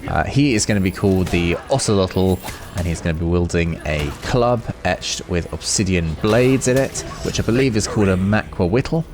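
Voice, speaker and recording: A man's voice: sits low, moderate pace, few pauses, music in the background. A man hosting a gaming video, giving casual, unscripted commentary and musing aloud as he goes. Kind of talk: casual off-the-cuff gameplay commentary.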